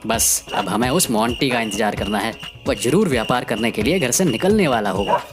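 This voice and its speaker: Woman voicing a deep, animated, scheming village man. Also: moderate pace. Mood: eager. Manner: emphatic, scolding, colloquial. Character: cocky village man, scheming, bossy, quick to scoff. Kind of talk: animated Hindi moral story dialogue. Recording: music behind the voice.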